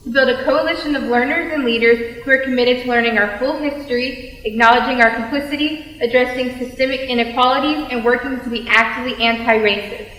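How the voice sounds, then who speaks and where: A woman's voice: high voice, fairly even intonation, a little fast, hardly any pauses, a bit bright. A young woman student performer reciting a scripted readers theater piece. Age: young adult. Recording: echoey hall with long reverb.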